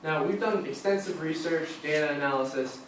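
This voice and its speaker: Teen boy pitching a case study, confident and rehearsed, slightly high voice, far mic in an echoey room. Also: a little fast, a little hiss or hum. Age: teens. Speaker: student presenter. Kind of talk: rehearsed presentation. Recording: roomy echo from far off.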